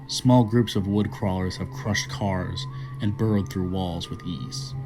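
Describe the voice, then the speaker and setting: A man with a fairly low voice delivers scripted, informational narration. His delivery is measured and formal, with music clearly playing behind him. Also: steady tone. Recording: loud background music.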